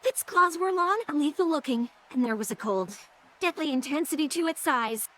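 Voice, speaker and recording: A woman's voice: sits high, expressive, normal speed, a bit bright. A woman narrating a scripted story as the narrator of an audio drama. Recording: clearly noisy background.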